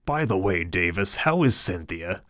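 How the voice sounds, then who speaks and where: A man's voice: low-pitched, very animated, moderate pace, a bit bright, no room sound, clean studio sound. A man voicing a snobbish, dismissive businessman with a plummy, condescending drawl. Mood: airily dismissive. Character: snobbish, dismissive British businessman, middle-aged.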